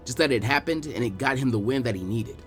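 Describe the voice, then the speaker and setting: Male narrator, expressive audiobook-style story reading, mid-pitched, soft music underneath. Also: quick pace. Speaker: narrator reading fan fiction aloud.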